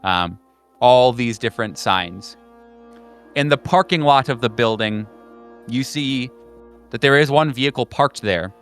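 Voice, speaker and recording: A man narrating an improvised tabletop horror game as game master, halting and conversational in his descriptions. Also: medium pitch, fairly bright tone, soft background music.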